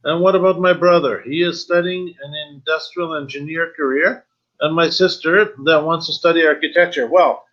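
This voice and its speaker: Male webinar host, 50s, slightly high voice, informal and halting, muffled video-call sound. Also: steady tone, fairly bright tone. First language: not Spanish, though speaking it at times. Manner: halting, informal, switching between English and Spanish. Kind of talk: casual webinar talk, reading and answering viewer questions. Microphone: video call, computer microphone.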